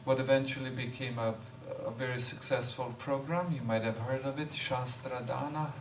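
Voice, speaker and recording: Man in his 50s, relaxed talk, far from mic, steady background noise. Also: mid-range voice, moderate pace, echoey room. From Croatia. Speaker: visiting monk giving a talk. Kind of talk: informal talk, introducing himself. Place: temple hall.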